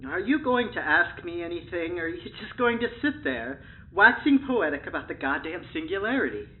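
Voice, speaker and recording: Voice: medium pitch, expressive, a little fast, narrow, tinny audio, a little hiss or hum, close to an everyday voice. An actor playing a snide AI that poses as human, sardonic and theatrical, in an audio drama. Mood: irritated, sardonic. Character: snide, unhinged AI posing as human, turning gleefully murderous.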